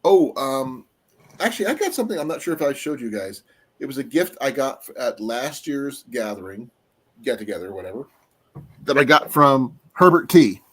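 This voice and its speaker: A man hosting a casual live-stream chat, his voice going a little raspy, rambling along with fillers as he looks things up. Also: medium pitch, expressive, normal speed, a bit bright. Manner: rambling, trails off with fillers while looking things up. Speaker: livestream host.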